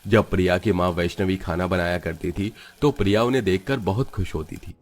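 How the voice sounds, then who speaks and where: Casting: male narrator with a deep voice for scripted children's cartoon tales. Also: a little fast.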